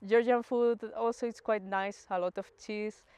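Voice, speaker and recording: Smooth-voiced young volunteer woman. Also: mid-range voice, steady tone, normal speed, a little mellow, no room sound. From Spain and Mexico. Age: 20s.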